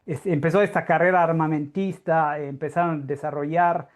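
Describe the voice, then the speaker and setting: A man with an Italian accent giving an academic conference talk in a halting, explanatory way with frequent restarts; Italian is his first language. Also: a little high, steady tone. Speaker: guest lecturer, astrophysicist.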